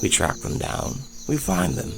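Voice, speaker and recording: A man playing a gruff, blunt trapper and father in a fantasy audio drama, speaking in dry, formal phrases. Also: fairly high pitch, very animated, unhurried, over music, heavily acted. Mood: determined. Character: gruff father and trapper in a fantasy swamp forest, eager to act.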